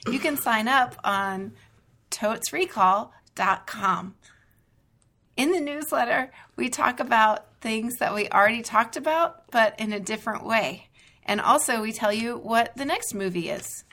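Bubbly higher-voiced woman. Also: measured pace, bright, forceful tone. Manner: bubbly, laughs easily. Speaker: podcast co-host. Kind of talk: casual chat.

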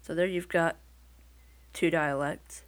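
A woman's voice: sits low, fairly even intonation, slow. A woman with a Midwestern American accent, talking casually as an interview guest and telling things in a wry, lightly joking way. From Midwestern United States.

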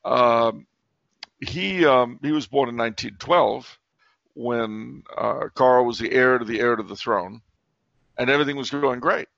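A man's voice: mid-range voice, unhurried, creaky, a bit bright, no room sound, highs cut off. A man speaking as an author guest in a casual interview, hesitant, with many fillers and restarts.

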